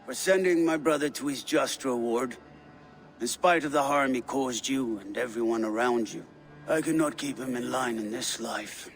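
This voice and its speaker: A battle-hungry Viking lord from a video game, acted by a man. He speaks with deliberate, formal courtesy and proud fatalism. Faint music sits behind the voice. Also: medium pitch, natural voice. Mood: wry respect.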